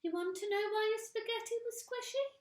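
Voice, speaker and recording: A woman putting on a screeching old hag. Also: a little fast. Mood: gloating. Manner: screeching, shrill. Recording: echoey room.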